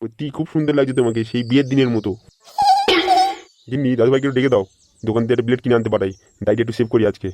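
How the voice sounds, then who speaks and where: Casting: male actor as excitable, cursing cartoon grandfather, very fast delivery, noisy recording. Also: medium pitch, natural voice. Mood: brisk, fond. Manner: scolding, blunt, excitable. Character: fretful, foul-mouthed grandfather hunting his missing grandson. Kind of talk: amateur comedy cartoon voice acting. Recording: noisy home-made cartoon dub.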